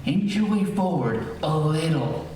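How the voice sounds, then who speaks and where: A man's voice: on the high side, animated, a little slow, mellow, faint music behind. An actor playing a boastful, cowardly, middle-aged father in an old-time radio comedy, pompous and flustered. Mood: pompous, scheming. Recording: echoey hall from far off, faint hiss.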